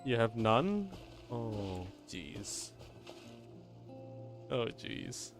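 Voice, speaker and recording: Lively man livestreaming a video game. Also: mid-range voice, extremely slow. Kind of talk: casual live gaming commentary. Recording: game music behind the voice.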